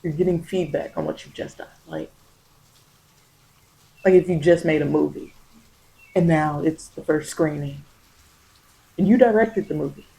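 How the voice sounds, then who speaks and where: A tarot reader speaks at a middling pitch in an improvised ASMR style. The delivery is halting and reflective, with phrases repeated and reworked as the reading unfolds. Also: creaky, warm tone, poor audio.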